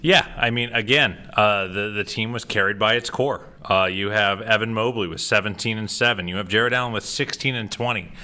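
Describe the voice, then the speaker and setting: A man's voice: fairly low pitch, brisk, few pauses, pushed and bright. A man co-hosting a sports podcast, his voice a little strained from cheering, talking casually and with lots of hesitations. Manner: casual, with frequent uh fillers and restarts. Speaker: podcast co-host.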